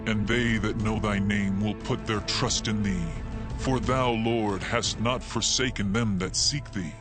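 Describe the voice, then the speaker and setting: A man's voice: on the low side, fairly even intonation, measured pace. A man narrates scripture as a formal, declamatory dramatized psalm reading. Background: music.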